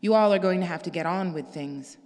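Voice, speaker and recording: A no-nonsense older woman as a woman reader puts her on, brisk and matter-of-fact. Her voice hangs in a long reverb. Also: fairly low pitch, a little mellow, close to an everyday voice.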